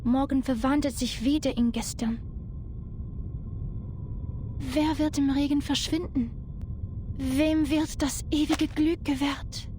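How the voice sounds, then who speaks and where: An actress playing an entranced woman who gives a solemn, measured, dramatic recitation of apocalyptic verse in a game. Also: high voice, steady tone, dark tone, a little hiss or hum. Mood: solemn, incantatory. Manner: incantatory, measured. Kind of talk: slow dramatic poetry recitation.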